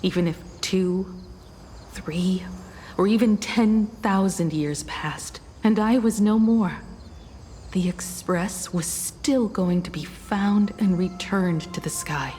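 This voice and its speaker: An actress playing a solemn, defiant woman in a video game, speaking in a measured, grave, accusing way. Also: medium pitch, mellow, long reverb tail, slight background noise, natural voice. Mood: solemn, resolute.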